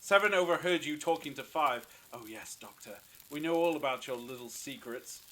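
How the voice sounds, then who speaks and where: A man's voice: medium pitch, moderate pace, pushed and bright, natural voice, poor audio. A man playing a menacing, accusing leader in an audio drama, commanding and sneering as he interrogates. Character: menacing, accusing male leader bullying the Doctor.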